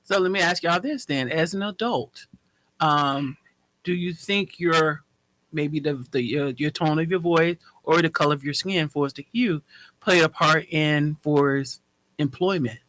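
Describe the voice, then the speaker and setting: Higher-voiced man hosting, bright tone. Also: unhurried, no room sound. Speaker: panel host. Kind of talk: casual talk, posing questions.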